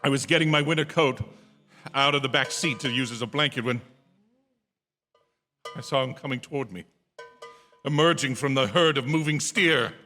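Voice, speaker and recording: A man acting out a haunted young driver in a radio suspense play, his voice forceful and sharp-edged. He speaks haltingly, sometimes stammering, full of dread. The sound rings in a big theatre hall, with music around it. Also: mid-range voice, natural voice. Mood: haunted, despairing. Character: haunted, desperate young male motorist unravelling with dread. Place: theatre stage. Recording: live theatre stage, long hall reverb, music.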